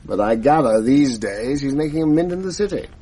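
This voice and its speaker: An actor playing a genial, chatty retired schoolmaster, older, in a 1980s radio drama. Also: male voice, medium pitch, lively intonation, brisk, mellow, natural voice. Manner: genial, chatty, old-fashioned.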